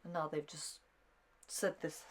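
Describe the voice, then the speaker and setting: A woman's voice: deep voice, steady tone, a little slow, a little hiss or hum. A woman chatting casually as a reaction show co-host, feeling her way through loose, hesitant phrases.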